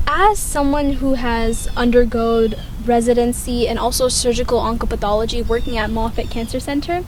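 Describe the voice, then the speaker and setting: A woman's voice: on the high side, a little mellow, slight background noise, low-quality recording. A young adult woman hosting a podcast interview, supportive and encouraging her guest. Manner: supportive, frequent "mm-hmm" backchannels. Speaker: podcast host.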